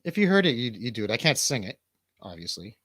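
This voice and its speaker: A man's voice: medium pitch, sing-song, fast, fairly bright tone, breathy edge, no room sound. A man hosting a livestream radio show with casual, comedic chat, rambling and swearing irreverently.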